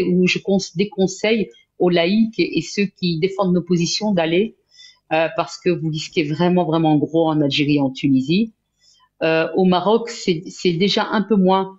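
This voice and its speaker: Halting woman on a dull line. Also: lowish voice, a little mellow. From Belgium. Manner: halting, earnest, many restarts. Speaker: guest. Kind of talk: live interview talk. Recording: dull lo-fi sound.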